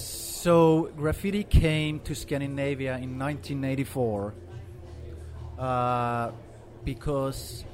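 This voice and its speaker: A man in his forties, from Finland and raised in Sweden, speaking casually with many fillers as an interview guest. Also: medium pitch. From Finland, grew up in Sweden. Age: 40s. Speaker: guest artist.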